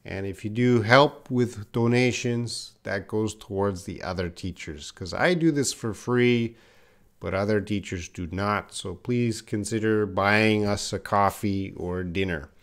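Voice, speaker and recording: Chatty man with a lowish voice. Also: measured pace. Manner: chatty, reads out viewer comments and answers them. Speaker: English teacher. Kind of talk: live-stream English class chat. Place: in Korea.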